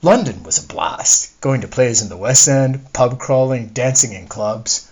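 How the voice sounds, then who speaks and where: Husky man reading as a discontented, proud middle-aged wife. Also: mid-range voice, expressive, measured pace, darkish tone, pitched above the performer's own voice. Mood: proud, restless. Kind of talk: first-person literary monologue reading. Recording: dull lo-fi recording.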